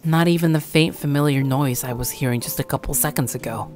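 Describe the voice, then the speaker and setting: A woman's voice: a bass voice, a little fast, darkish tone, faint music behind. A woman giving a scripted first-person horror story narration.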